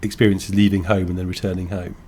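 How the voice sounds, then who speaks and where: An English man co-hosting a podcast, talking thoughtfully in halting, restarting sentences. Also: low voice, flat delivery, mellow, a little hiss or hum. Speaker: podcast co-host, game designer. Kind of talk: casual chat.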